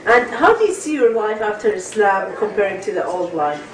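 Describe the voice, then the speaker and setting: A woman's voice: mid-range voice, big pitch swings, fairly quick, a little hiss or hum. A woman interviewing a guest, affirming her answers often and asking follow-up questions. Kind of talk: casual interview. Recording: echoey, off-mic.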